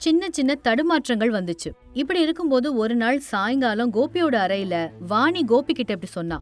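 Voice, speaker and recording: High-pitched woman narrating a story. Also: animated, fairly quick. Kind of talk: scripted moral story narration. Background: faint music.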